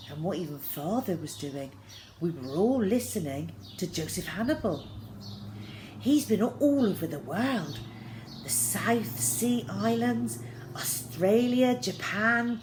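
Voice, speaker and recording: A woman narrating a children's novel aloud in an expressive, dramatic storytelling style. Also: lowish voice. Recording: clear background noise with birdsong.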